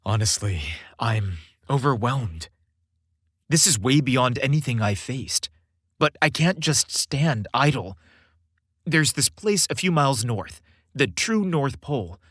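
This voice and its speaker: A man's voice: medium pitch, fairly bright tone, dry recording, studio quality. A man voicing an earnest, determined young man, Santa's son, speaking in a confiding way in a story.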